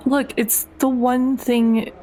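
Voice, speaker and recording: A woman voicing a weary, raw, sardonic repeat offender in jail, halting in an improvised tabletop roleplay. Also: high-pitched, little pitch movement, mellow. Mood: defensive. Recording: faint background noise.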